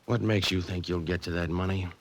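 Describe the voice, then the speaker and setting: An actor in an old-time radio drama playing a curt, menacing crook who talks in blunt, clipped slang. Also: male voice, deep voice, fairly even intonation, a little fast. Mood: gruffly threatening.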